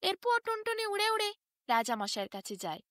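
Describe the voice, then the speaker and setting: A woman narrating a children's bedtime story in an expressive, scripted style. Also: extremely high pitch, fairly quick, no echo, clean studio sound.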